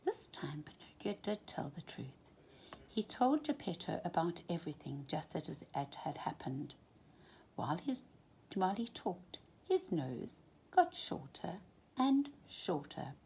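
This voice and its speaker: Slow, animated woman reading a storybook. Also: on the low side, slight background noise, rough recording. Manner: deliberate, dramatic emphasis. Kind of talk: expressive children's storybook reading.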